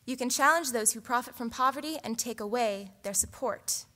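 Bright-voiced young woman giving a persuasive speech. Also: a little high, a little fast. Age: young adult. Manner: earnest, persuasive, rhetorical questions. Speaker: student orator. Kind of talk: competition speech. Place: large theatre, at a lectern. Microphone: lectern microphone.

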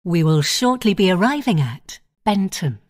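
A woman giving automated onboard train announcements in measured, clipped phrases, naming each station in turn. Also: lowish voice, big pitch swings.

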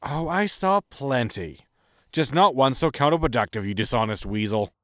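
Man playing a grumpy, mid-pitched starship captain. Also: animated, moderate pace, fairly bright tone, no room sound, clean studio sound, close to an everyday voice. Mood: irritated. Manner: blunt, sardonic, irritable. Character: grumpy, exasperated male spaceship captain. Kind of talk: science fiction comedy audio drama acting.

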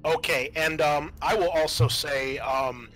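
A man acting as game master, narrating a tabletop game in a halting, conversational way. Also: on the high side, steady tone, fairly bright tone, rough recording.

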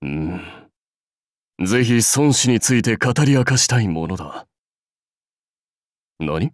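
A man's voice: lowish voice, lively intonation, slow pace, no echo, studio quality. A man voicing a proud, seasoned samurai warlord in a mobile game, speaking in a dignified, archaic lordly manner. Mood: measured, bemused. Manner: stately, archaic lordly speech. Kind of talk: Japanese mobile game character voice lines.

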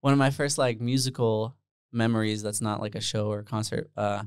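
A young man from the Netherlands talks in a relaxed, meandering way as an interview guest. His voice sits fairly low and sounds smooth and clean. It is close to a handheld mic in a dry, small room. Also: fairly quick, no room sound. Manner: rambling, self-deprecating, many fillers. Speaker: guest musician. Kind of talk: casual interview chat. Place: small room with bare walls. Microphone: handheld dynamic mic, headphones on.

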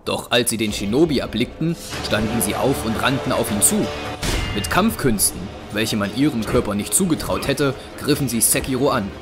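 A man narrating a scripted audio drama as a measured storyteller. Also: medium pitch, lively intonation. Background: music.

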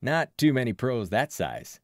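A man voicing a burly, protective local sports fan for an audiobook, loud, punchy and colloquial. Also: medium pitch, very animated, measured pace, no room sound, studio quality. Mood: chummy, opinionated. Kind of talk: audiobook character voice.

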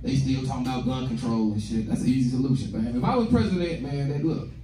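A man's voice: medium pitch, fast talker. A young American man performing stand-up comedy in a slangy, profane, conversational way, acting out bits of dialogue. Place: small comedy club stage, indoors. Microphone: handheld stage mic, recorded from a distant camera. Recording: echoey club room, distant mic, loud crowd noise. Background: audience laughter and room noise.

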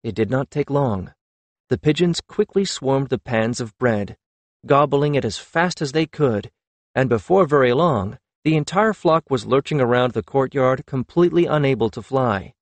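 A man's voice: medium pitch, expressive, moderate pace, no room sound. A man reading a fantasy novel aloud as an audiobook narrator.

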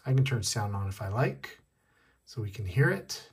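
A man with a slightly husky voice, giving a casual hands-on tech tutorial, explaining step by step and repeating key points. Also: on the low side, sing-song, moderate pace. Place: indoors at a wooden desk.

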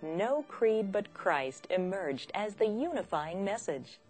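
A woman reading scripted documentary narration in a measured, expressive way. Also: medium pitch, soft background music.

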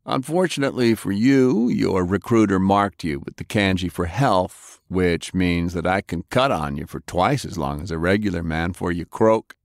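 A man voicing a rough, menacing American interrogator with a slow drawl and a sardonic, threatening manner. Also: sits low, no room sound, clean studio sound. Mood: taunting.

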